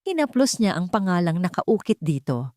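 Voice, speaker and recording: Low, mellow Filipino woman storyteller. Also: big pitch swings, normal speed, no room sound, studio quality. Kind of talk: dramatic scripted narration of a romance story.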